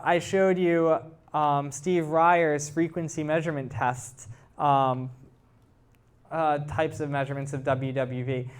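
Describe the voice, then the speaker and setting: A man giving a technical talk to a live audience, explaining things informally and putting questions to his listeners. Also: on the high side. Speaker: presenter, researcher.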